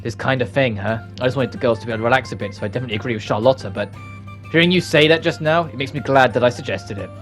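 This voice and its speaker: A man with a mid-pitched voice hosts a let's play. He reads visual novel dialogue aloud and adds his own casual commentary, with faint game music underneath. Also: racing, fairly bright tone.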